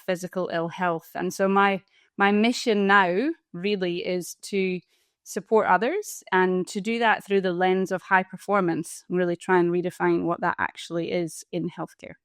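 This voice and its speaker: A woman in her forties with a Scottish accent, a palliative care doctor and coach speaking as an interview guest in a thoughtful, earnest, measured way. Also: on the low side, flat delivery, smooth, no echo, clean studio sound. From Scotland. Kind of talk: interview conversation.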